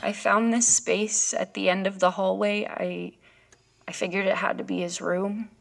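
A woman's voice: mid-range voice, level tone, gravelly, slight background noise. A woman acting in a horror audio drama as a frightened, hesitant woman, with music under her voice. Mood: haunted recounting. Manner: halting, hesitant delivery. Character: frightened woman recounting a disturbing experience, searching for her dog. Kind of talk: horror audio drama, narration and acted scenes.

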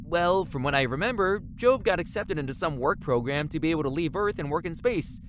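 A woman's voice: sits low, expressive, fairly quick, slight background noise. A woman playing a naive, eager space station custodian who rambles guilelessly in a comedy audio drama. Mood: chatty.

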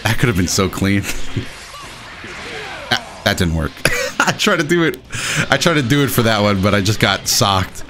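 A man giving casual, excitable commentary while he plays a video game, with playful dramatic flourishes. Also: lowish voice, moderate pace, pauses often, over music. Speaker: gaming video host and player. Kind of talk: casual live gameplay commentary.

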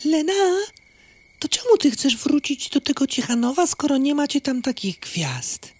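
A dreamy female insect in an audio play. Her girlish voice is high and animated. The recording is dry and close. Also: normal speed, no room sound. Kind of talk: audio drama acting.

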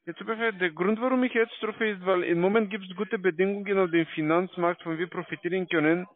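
A man with a foreign accent speaks in a high voice over a tinny phone line with no room sound. He recites a sales pitch haltingly, as a non-native speaker. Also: fairly even intonation, no echo. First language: not German. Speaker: call-centre salesman.

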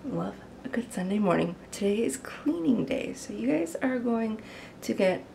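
A woman's voice: on the high side, lively intonation, moderate pace, vocal fry, warm tone, a little hiss or hum. A woman in her thirties chatting casually and playfully while cooking and cleaning in her home kitchen, recorded on a camera mic. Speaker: vlogger. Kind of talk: casual vlog chat.

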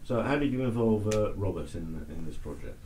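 A man's voice: on the low side, animated, fairly quick, warm tone, echoey room, a little hiss or hum. A man interviewing guests on local TV who asks his questions hesitantly and stumbles over his words.

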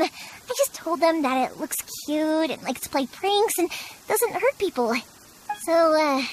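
A woman voicing a cheerful, spirited young fireworks maker in a video game, chatty and emphatic, with warm-hearted casual delivery. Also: very high voice, a little hiss or hum, rough recording. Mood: earnest. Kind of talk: expressive video game dialogue acting.

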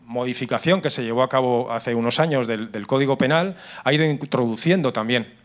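A man's voice: medium pitch, fairly quick. Middle-aged man presenting, Spain Spanish accent. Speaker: conference speaker on cybersecurity. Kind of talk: live conference presentation with slides. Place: indoor conference room with seated audience. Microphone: handheld microphone.

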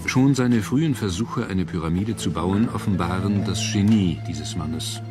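A man reading scripted documentary narration in a measured, solemn manner. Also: sits low, music in the background.

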